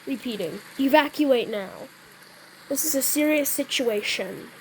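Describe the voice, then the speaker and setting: A woman's voice: sits high, expressive, a little slow, a little hiss or hum, low-quality recording. A woman role-playing through a game, moving between casual remarks and improvised announcements. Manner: stumbles over read-out wording, often clears throat. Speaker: gamer playing out an emergency alert scenario. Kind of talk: casual gameplay role-play with improvised mock weather bulletins.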